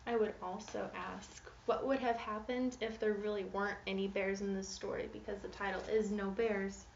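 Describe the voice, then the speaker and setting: A woman giving an expressive, interactive children's read-aloud in a teacherly way, putting questions to young listeners. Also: mid-range voice, normal speed, vocal fry, distant mic. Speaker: teacher reading a picture book aloud. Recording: echoey room, muffled, noisy background.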